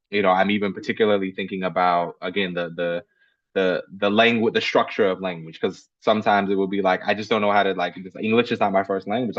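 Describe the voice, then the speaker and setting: A man with a fairly low voice moderates a panel over a video call. He talks loosely, circling back with restarts and fillers. The call audio sounds dull and dry. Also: dry recording. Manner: rambling, many restarts and fillers. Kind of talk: casual panel discussion.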